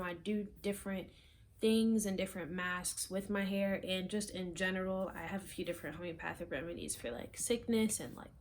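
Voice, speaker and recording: A young woman from South Florida chatting casually to camera as a fitness vlogger, rambling with frequent ums and soft trailing asides. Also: mid-range voice, slight background noise. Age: young adult. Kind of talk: casual chat and workout instruction. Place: small room, plain wall, indoors.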